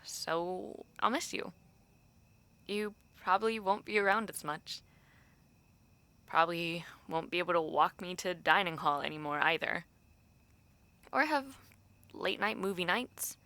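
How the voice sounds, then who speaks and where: A woman's voice: on the low side, unhurried, pauses often, bright, forceful tone, no echo. A young woman playing a thoughtful, romantic university student who confides hesitantly in a friend, in an intimate, conversational audio roleplay. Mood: wistful.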